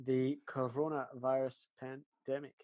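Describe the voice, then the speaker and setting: A man's voice: mid-range voice, flat delivery, measured pace, darkish tone, lo-fi sound. A man chatting casually as a podcast co-host, informal and blokey, with conversational asides. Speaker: podcast co-host discussing films. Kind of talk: casual chat.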